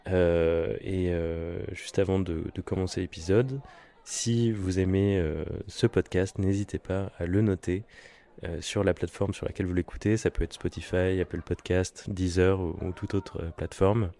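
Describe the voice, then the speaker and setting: Man, lower pitch, casual podcast host, conversational, halting and word-searching. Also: fairly even intonation, warm tone. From France (Nantes). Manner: hesitant, searching for words.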